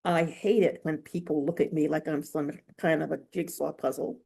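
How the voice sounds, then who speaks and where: Lady doing a smart serious 12 yr old girl from a novel, grumbly n blunt, bit of gravel. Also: deep voice, fairly even intonation, normal speed, dark tone, voice pushed down, exaggerated voice. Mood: muttering, annoyed. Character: serious, sharp-witted twelve-year-old orphan girl.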